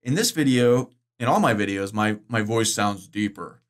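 A middle-aged man vlogging about course-making software, chatty and enthusiastic, his voice bass-boosted with the breaths filtered out. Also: expressive, moderate pace. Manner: chatty, enthusiastic, loose with fillers and restarts. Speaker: online course creator. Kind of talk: casual explanatory vlog. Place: indoors, green-screen studio.

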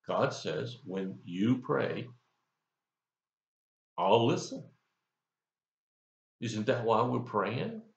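A man's voice: medium pitch, very animated, drawn-out words, creaky, long pauses, roomy sound, muffled recording. An older man giving a folksy, conversational devotional as a pastor, asking the listener questions, recorded on a webcam in his office. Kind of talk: devotional sermon. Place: office, indoors, seated in leather chair.

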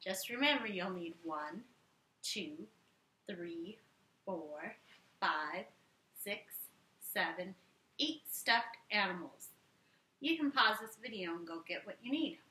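A woman's voice: lowish voice, lively intonation, slow pace, long pauses, bright, forceful tone. A woman in her thirties reading a children's story aloud expressively, giving play-along instructions to young listeners. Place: living room, indoors. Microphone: camera a few feet away. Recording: roomy living room echo.

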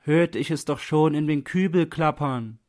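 A man acting a desperate, high-calling freezing beggar. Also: a little slow, natural voice. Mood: desperate pleading. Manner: imploring, calling out loudly. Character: freezing, penniless man, pleading desperately for coal.

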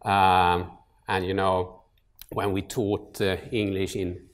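A middle-aged man from Sweden with a Swedish accent, an orthopedic surgeon giving a conference lecture at a podium microphone, relaxed, with many fillers and restarts. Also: low-pitched, slow. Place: large lecture hall with an audience. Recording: echoey lecture hall.

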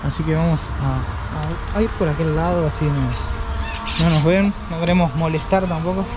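A man in his thirties with an Argentine accent, chatting casually as he explores an abandoned roadside restaurant, laughing often and exclaiming with excitement. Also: fairly high pitch, moderate pace, very noisy. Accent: Argentine Spanish. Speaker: urban explorer vlogger. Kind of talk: casual walkthrough chat. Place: outdoors in an abandoned roadside building. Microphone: handheld camera held at arm's length.

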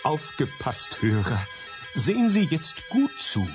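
An actor playing a sardonic narrator in a German radio crime drama, addressing listeners with theatrical, wry asides. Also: male voice, fairly high pitch, sing-song, measured pace, over loud music. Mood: wry, urgent.

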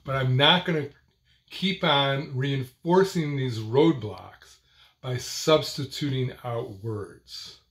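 Slow, deliberate older man talking to camera. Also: medium pitch, a bit bright. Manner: slow, deliberate phrasing with pauses. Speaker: host of a video series on stuttering. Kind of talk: personal talk to camera. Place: small indoor room at home.

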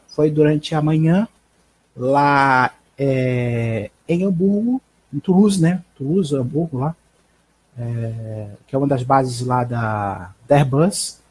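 Brazilian guy doing a casual livestream news rundown, husky mellow voice, kinda stop-and-start, headset mic. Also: fairly high pitch. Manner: halting, informal, frequent check tags. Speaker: live stream host. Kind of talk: casual live aviation news roundup. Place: small bedroom at home. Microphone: headset microphone.

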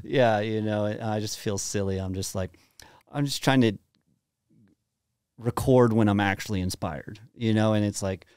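Brief: young Texan guy, lowish voice, easygoing rambling podcast guest, dry studio mic. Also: normal speed, clear, resonant tone, no room sound. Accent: light Texan. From Dallas, Texas. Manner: relaxed, rambling with many fillers. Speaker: guest musician. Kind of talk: casual podcast chat. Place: small treated podcast studio. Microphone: studio mic on boom arm, headphones.

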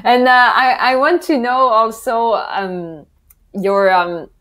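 A woman's voice: a little high, slow pace, a bit bright. A woman in her thirties hosting a casual interview, smiling and enthusiastic as she talks. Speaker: podcast host. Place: indoors, video call. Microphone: wired earphone mic.

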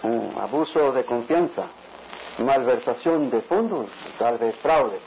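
An actor playing a genial, shrewd middle-aged police lieutenant in a radio soap, talking familiarly and repeating himself. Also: male voice, medium pitch, expressive, slow, darkish tone, poor audio. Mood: pondering. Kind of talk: radio soap opera acting. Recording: old radio drama, faint noise.

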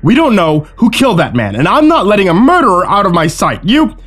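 A man's voice: very high-pitched, animated, moderate pace, voice pushed up. A man voicing a panicked, defensive gunman who blurts and stammers his excuses, in a dramatic story. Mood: agitated, threatening.

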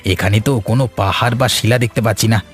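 A man's voice: lowish voice, quick pace, faint music behind, close to an everyday voice. A man voicing a seafaring merchant in a cartoon story, thinking aloud in a dramatic way. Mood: alarmed. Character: seafaring male merchant, hopeful and easily alarmed.

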